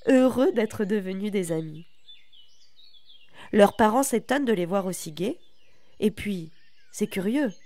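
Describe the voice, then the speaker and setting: A woman narrating a children's tale as a storyteller, in a calm and deliberate way. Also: medium pitch, no room sound.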